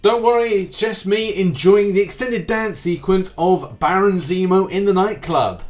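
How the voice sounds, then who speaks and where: A man's voice: high voice, measured pace. A man hosting a gaming news show, giving wordy, rambling commentary in a presenter's style. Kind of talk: YouTube gaming news commentary, semi-scripted. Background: background music.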